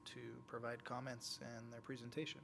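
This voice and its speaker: A man's voice: mid-range voice, flat delivery, moderate pace. A man chairing a public meeting, giving brief procedural instructions to open a hearing, heard from a distance in a council chamber. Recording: distant voice with faint background noise.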